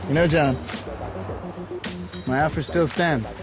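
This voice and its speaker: A man's voice: on the high side, slow, music in the background. A man playing a friendly, confident biker in a scripted promo, presenting and chatting about his gear. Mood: casual, friendly. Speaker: presenter and actor in a product promo. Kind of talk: scripted promotional narration and dialogue.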